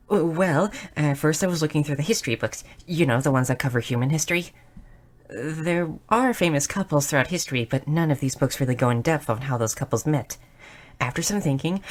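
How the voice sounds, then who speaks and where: A woman voicing a bookish, excitable young goblin girl in an audio roleplay, curious, shy and slightly stammering. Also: a bass voice. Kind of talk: scripted audio roleplay addressed to the listener.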